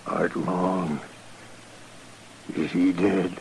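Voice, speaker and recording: A gravely wounded farmer in an old radio western. The voice is low and creaky, dragging out each phrase in weak, frightened gasps. The old recording is slightly noisy. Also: male voice, steady tone, extremely slow, darkish tone, full character voice. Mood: pained, failing. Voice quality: gravelly, broken. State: dying, weak and gasping. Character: mortally wounded male frontier farmer, gasping and afraid.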